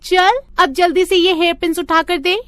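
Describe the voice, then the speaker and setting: A woman's voice: very high voice, fairly even intonation, moderate pace, fairly bright tone, no room sound, pitched above the performer's own voice. A woman voicing a young schoolgirl, bossy and touchy, with a pert, taunting delivery.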